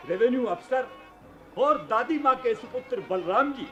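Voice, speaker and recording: An actor playing an officious village station announcer pleading for order in a radio play. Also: male voice, very high-pitched, expressive, normal speed, dull audio, soft background music. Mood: urgent, officious.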